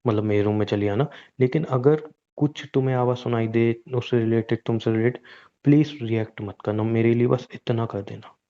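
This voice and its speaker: A man acting as a young, guilt-ridden husband in a relationship audio drama speaks with resigned earnestness. His delivery is fairly flat and gently bright-free, mellow and conversational, on dull lo-fi audio. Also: mid-range voice, a little fast, close to an everyday voice. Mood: apologetic, cautious. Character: weary, guilt-ridden cheating husband, resigned yet pleading. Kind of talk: naturalistic Hindi relationship audio drama, staged as a private conversation.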